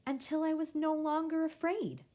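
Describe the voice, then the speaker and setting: A woman reading a children's picture book aloud in the first person, with measured, expressive storytelling. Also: high voice.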